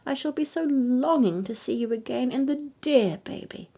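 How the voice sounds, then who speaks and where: A woman's voice: sits high, expressive, moderate pace, dark tone, husky, voice pushed up, full character voice. A woman voicing a haughty lady fairy in a storybook, with an affected, theatrical manner. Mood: gushing. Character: haughty, gushing lady fairy in snake-trimmed bonnet.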